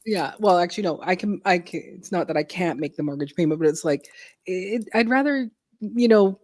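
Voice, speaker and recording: A middle-aged woman from Canada hosting a casual live stream, chatty, laughing often and clearing her throat. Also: fairly low pitch, expressive, fairly quick, a little mellow. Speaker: host.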